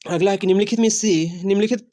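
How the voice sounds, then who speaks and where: A man's voice: sits high, steady tone, fast talker, mellow, a little hiss or hum. A man teaching a quiz-style lesson, repeating phrases and answering his own questions. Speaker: teacher of exam-prep science class.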